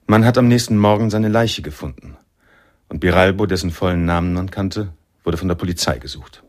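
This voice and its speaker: A man's voice: sits low, a little fast, dry recording, clean studio sound, lightly acted. An actor playing a reflective, world-weary male narrator in a noir radio drama, telling the story in literary prose. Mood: grave, suspenseful.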